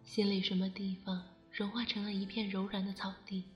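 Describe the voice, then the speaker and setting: A woman acting a gentle, wistful young student in an audio drama, speaking softly and reflectively. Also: medium pitch, flat delivery, brisk, reverberant, faint music behind, close to an everyday voice.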